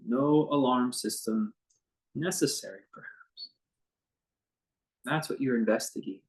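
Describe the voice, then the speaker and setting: A man leading a guided meditation as a teacher, speaking in an unscripted, conversational way with gentle, halting phrases and long pauses. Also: mid-range voice, rough recording.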